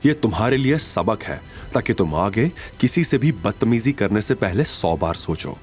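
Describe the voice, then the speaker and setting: A man's voice: fairly low pitch, animated, normal speed, soft background music, lightly acted. A man voicing a proud, defiant young man who speaks firmly and indignantly in an audio drama. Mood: stern anger. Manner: firm, indignant.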